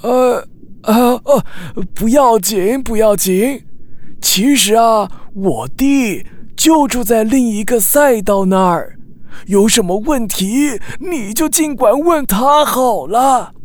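A woman's voice: fairly high pitch, animated, slow, darkish tone. A woman voicing a kindly, encouraging male sea snail uncle in a children's audio drama, stammering and full of praise. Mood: reassuring.

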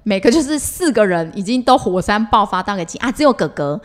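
A woman's voice: mid-range voice, lively intonation, fairly quick. A middle-aged woman with a Taiwanese accent chatting casually and expressively as a podcast co-host, recounting everyday life like a story.

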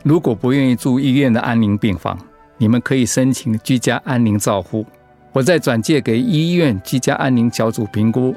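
A man playing a serious, measured doctor explaining things gravely in a radio drama. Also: mid-range voice, a little mellow, soft background music, natural voice.

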